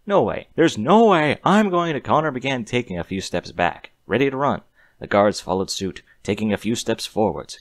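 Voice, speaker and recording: A man's voice: lowish voice, no echo. A man narrating a scripted story expressively on a close mic.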